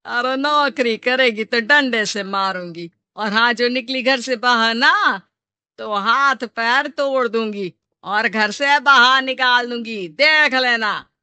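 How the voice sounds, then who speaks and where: A woman's voice: on the high side, takes it slowly, bright, forceful tone, smooth, no room sound. A woman voicing a stern, commanding middle-aged mother-in-law in a storybook tale. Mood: threatening. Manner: scolding, commanding.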